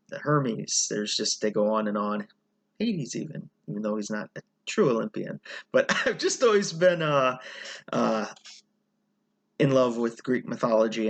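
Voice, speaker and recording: A man from the United States talking casually about a trip, relaxed and rambling with fillers and self-corrections. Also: lowish voice. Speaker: channel host. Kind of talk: casual travel vlog chat.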